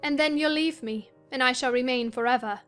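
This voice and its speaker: Woman acting a sickly young wife in an audiobook drama, wistful, high voice, soft music behind. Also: brisk. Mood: melancholy. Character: frail invalid young wife, wistful and melancholy.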